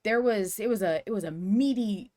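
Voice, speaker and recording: A woman hosting a casual podcast chat in a playful, expressive way, swearing casually. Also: mid-range voice, moderate pace. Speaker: podcast host.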